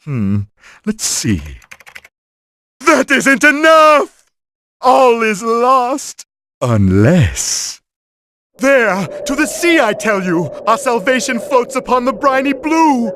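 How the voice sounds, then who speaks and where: A man's voice: very high voice, wildly swooping pitch, slow, a bit bright, heavily acted. A man voicing a pompous, short-tempered mad scientist villain with a nasal, theatrical delivery in a cartoon video game. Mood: melodramatic, urgent. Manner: pompous, melodramatic, florid diction. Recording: noisy, with cartoon sound effects.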